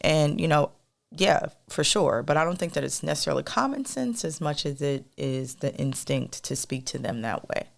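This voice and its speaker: A woman co-hosting a podcast in a casual, rambling monologue with a soft, melodic voice, talking straight to listeners as y'all. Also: low-pitched, expressive, moderate pace, gravelly. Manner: rambling, addresses listeners as y'all, many fillers. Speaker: podcast co-host.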